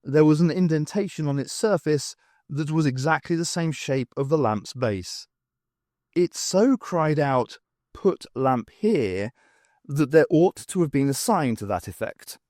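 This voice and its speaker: A man narrating a comic fantasy audiobook in a wry, theatrical way with deliberate pauses. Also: a little high, lively intonation, a little mellow, no room sound, clean studio sound.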